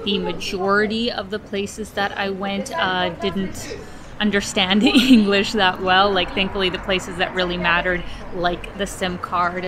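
A young woman, a native Russian speaker, chatting candidly into a handheld camera at an outdoor cafe, with frequent laughs. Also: mid-range voice. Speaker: travel vlogger. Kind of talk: casual travel vlog talk. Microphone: camera held at arm's length.

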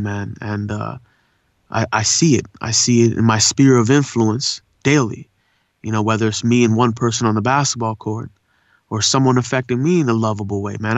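A man's voice: mid-range voice, no echo. Laid back socal guy with urban swag, talks earnest, says man a lot, kinda muffled dry audio. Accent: Southern California urban. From India. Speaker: guest voice actor and poet. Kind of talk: casual interview chat.

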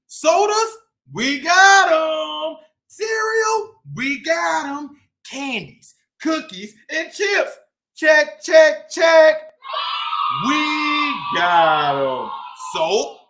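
A man's voice: very high voice, fairly bright tone, exaggerated voice. Man voicing a boastful, swooping storybook cat boss. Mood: boastful, upbeat. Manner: boastful, exclamatory storybook narration. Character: proud, busy bodega cat boss, chatty and friendly.

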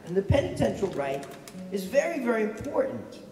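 A middle-aged male pastor with a raspy voice, giving a conversational sermon from a pulpit mic and asking the congregation questions. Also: high voice, sing-song, fairly quick, distant mic, background noise. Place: large church, at a pulpit. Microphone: stand mic at the pulpit. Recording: echoey church heard from afar.

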